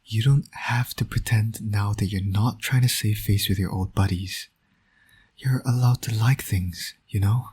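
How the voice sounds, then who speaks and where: A man playing a flirtatious, teasing supervillain and doting father in an intimate audio roleplay, with a husky, breathy voice. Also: lowish voice, steady tone, measured pace, a little mellow. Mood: teasing persuasion.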